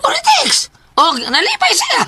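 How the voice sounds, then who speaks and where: A playful, teasing friend in a Cebuano radio drama. The voice is sharp and bright with animated swings in pitch, over faint noise. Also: male voice, normal speed, heavily acted. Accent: Cebuano Filipino. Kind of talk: Filipino radio drama acting.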